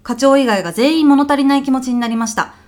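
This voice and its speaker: A woman's voice: high-pitched, quick pace, a little mellow, lightly acted. A woman playing a polite, strict junior office organizer in a comic radio drama, coolly businesslike as she tallies up fines. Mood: polite, exacting. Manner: polite, businesslike, matter-of-fact.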